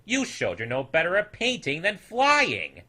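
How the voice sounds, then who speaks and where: A man's voice: high voice, wildly swooping pitch, unhurried, pushed and bright, pitched above the performer's own voice, exaggerated voice. A man voicing cruel, smug storybook creatures who taunt with heavy emphasis. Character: jeering crowd of town snoodles, cruel, smug bullies.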